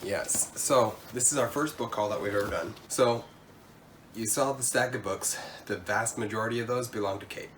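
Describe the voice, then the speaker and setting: A man's voice: on the low side, normal speed, fairly bright tone, recorded from a distance, slight background noise. A man with a cold chatting casually about books he bought, stumbling over words now and then. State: has a cold. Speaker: co-host. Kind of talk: casual book haul chat.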